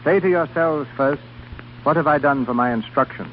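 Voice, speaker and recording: A dull old radio broadcast with slight noise. A man with a slightly high voice presents as host, formal and declamatory, in 1930s scripted narration style. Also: normal speed.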